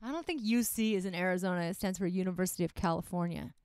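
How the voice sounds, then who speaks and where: A woman's voice: lowish voice, fast, darkish tone, a little hiss or hum. A woman co-hosting a comedy podcast, bantering casually with a teasing, sarcastic manner. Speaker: comic and podcast co-host.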